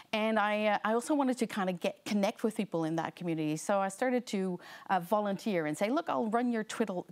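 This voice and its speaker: A woman in her forties, from the Netherlands, gives a conversational seminar talk on a studio stage before a seated audience, with many fillers and restarts. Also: fairly low pitch. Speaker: guest speaker.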